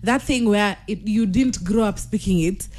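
A woman's voice: medium pitch, normal speed, a little mellow. A woman from Kenya, co-hosting a morning radio show and joking around. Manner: chatty, joking. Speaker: radio co-host. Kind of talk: casual morning radio banter.

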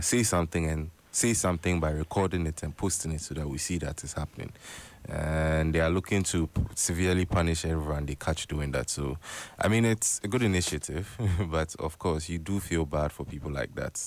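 A man, co-hosting a morning radio show in easygoing casual chat and laughing as he talks. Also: low voice. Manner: easygoing, laughs while talking. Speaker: radio co-host.

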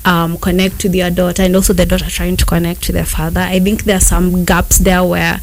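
Gravelly-voiced young woman filmmaker. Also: on the low side, little pitch movement, a little fast, a little hiss or hum. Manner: rambling, many fillers and restarts. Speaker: guest filmmaker. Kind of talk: casual interview answers.

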